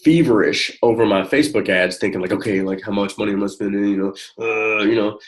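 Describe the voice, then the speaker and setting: A man speaking informally and encouragingly as a coach on a one-on-one call, often halting as he finds his words. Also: lowish voice, steady tone. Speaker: network marketing coach.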